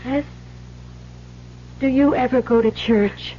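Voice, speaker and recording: An actress playing a gentle, earnest former nun in an old radio drama, hesitant and polite. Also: female voice, high-pitched, slow. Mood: gently probing. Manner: hesitant, polite, soft-spoken. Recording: muffled, noisy vintage radio recording.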